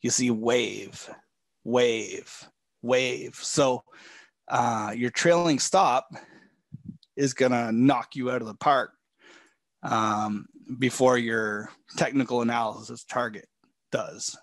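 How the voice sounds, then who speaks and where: A man coaching a live trading session from a home office, talking in a relaxed way with many fillers, a bit hoarse and often clearing his throat. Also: mid-range voice, slow, dry recording. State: losing his voice.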